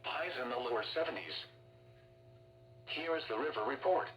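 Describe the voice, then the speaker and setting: A man's voice: medium pitch, animated, normal speed, highs cut off, a little hiss or hum. A synthetic male announcer voice reading weather forecasts, heard through a radio speaker.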